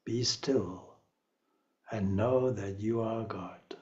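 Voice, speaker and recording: Slow, low-voiced man guiding meditation. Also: highs cut off. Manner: calm, measured, with long pauses. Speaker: meditation teacher, swami. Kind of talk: guided meditation.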